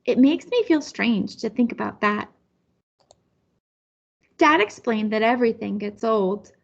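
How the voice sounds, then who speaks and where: A woman's voice: on the high side, expressive, a little slow, creaky, highs cut off. A woman reading a children's picture book aloud as a storyteller. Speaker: storybook reader.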